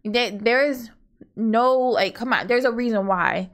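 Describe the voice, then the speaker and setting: A woman's voice: fairly high pitch, measured pace. A woman from Hawaii co-hosting a casual podcast with her husband, rambling through her points with lots of asides and fillers. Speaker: podcast co-host. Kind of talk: casual opinionated chat.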